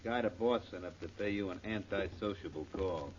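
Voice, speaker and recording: Man acting mock-polite low-voiced American gangster gunman. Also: a little fast, lightly acted. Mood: apologetic, menacing. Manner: mock-polite, flowery vocabulary in rough street grammar. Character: polite, apologetic gangster gunman with pompous malapropisms. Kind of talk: 1940s radio crime drama acting. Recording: muffled, noisy 1940s radio recording.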